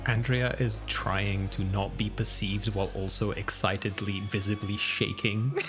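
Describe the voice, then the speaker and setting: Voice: deep voice, flat delivery, unhurried, over music. A player improvising roleplay in a nervous, stammering manner at a tabletop game.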